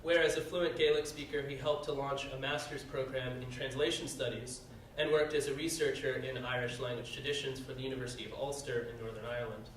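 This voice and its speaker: A man's voice: mid-range voice, flat delivery, a little fast, few pauses, slight background noise. A man moderating a conference panel, reading the speakers' bios aloud with frequent "uh" fillers. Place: conference room. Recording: very echoey room, heard from a distance.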